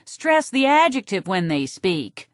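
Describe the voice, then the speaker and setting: A woman narrator voicing a speech therapist who stresses each word slowly and carefully. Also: mid-range voice, big pitch swings, no room sound, studio quality. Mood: instructive. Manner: slow, careful, over-stressed words.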